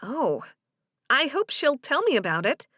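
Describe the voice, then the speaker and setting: A woman voicing an earnest young woman in audiobook dialogue, shy yet spirited, with an expressive, questioning manner. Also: high-pitched, measured pace, no room sound, clean studio sound, pitched above the performer's own voice, heavily acted. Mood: curious.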